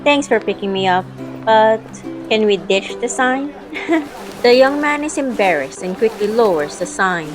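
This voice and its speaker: A woman's voice: fairly high pitch, animated, unhurried. A woman narrating a first-person audio drama, acting out her own lines of dialogue within the story. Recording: background music under the voice. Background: music.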